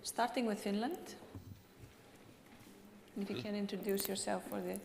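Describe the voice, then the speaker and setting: A woman moderating a panel, light and quick to laugh. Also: medium pitch, fairly even intonation, gravelly, noisy background. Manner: light, laughing, brisk with turns. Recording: echoey reverberant hall.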